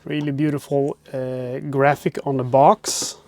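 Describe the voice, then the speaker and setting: A man's voice: mid-range voice, flat delivery, a little slow, darkish tone. A man with a Swedish accent, hosting a casual board game unboxing from inside a cramped RV on a wireless clip-on mic, chatty and rambling with lots of "uh" fillers. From Sweden. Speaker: board game channel host. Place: cramped RV parked by a harbor. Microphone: wireless clip-on microphone.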